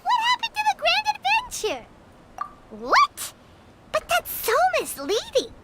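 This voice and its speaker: A woman voicing a tiny, excitable, childlike fairy companion in a video game, squeaky and exclamatory, with music behind her. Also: a little slow, noisy background, full character voice. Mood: indignant. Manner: exclamatory, expressive. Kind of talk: video game character dialogue.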